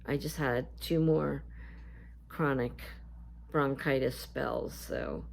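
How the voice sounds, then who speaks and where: A woman with a scratchy voice talking casually to her viewers in a vlog, halting and full of fillers. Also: extremely low pitch, fairly even intonation, gravelly. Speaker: YouTuber. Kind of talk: casual talk to camera.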